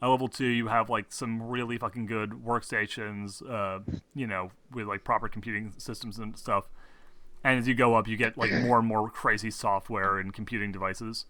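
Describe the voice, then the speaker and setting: Bright-voiced man explaining tabletop game rules. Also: fairly low pitch, steady tone, moderate pace. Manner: hesitant, rambling delivery with casual swearing. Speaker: game master.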